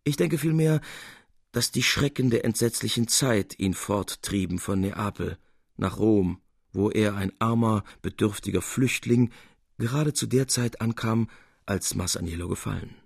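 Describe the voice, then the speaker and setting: A man reading literary prose as a narrator, in a measured and expressive storytelling manner. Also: fairly low pitch, vocal fry, breathy edge, no echo, studio quality.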